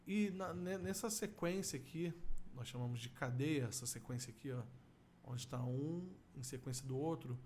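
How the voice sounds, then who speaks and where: Brazilian man, middle-aged, medium-pitched voice, informal tutorial, step-by-step explanations, faint room noise. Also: moderate pace, darkish tone. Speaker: audio effects instructor.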